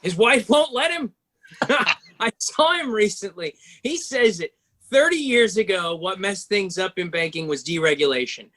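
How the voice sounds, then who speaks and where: A man's voice: high voice, animated, moderate pace, a bit bright. A man playing a chatty, wry banking man in a scripted table read.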